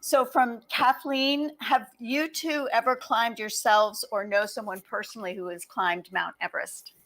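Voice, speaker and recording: Bright-voiced woman moderator. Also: a little high, steady tone, a little slow, poor audio. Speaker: host, moderator. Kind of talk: moderating a video panel.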